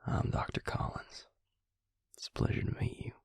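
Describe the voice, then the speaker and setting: A man playing a devious vampire posing as a suave dentist in an intimate audio roleplay, talking softly and reassuringly to the listener in a breathy, gravelly half-whisper. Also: no echo, lightly acted. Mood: smooth, welcoming. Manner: soft-spoken, slow, reassuring bedside patter. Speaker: dentist character. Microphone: close, dry microphone.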